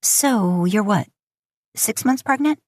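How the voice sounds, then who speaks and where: A woman's voice: medium pitch, lively intonation, slow, no echo, voice pushed up. A woman voicing a suspicious young girlfriend in an audiobook story, pointed and brittle in her politeness. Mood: brittle, polite.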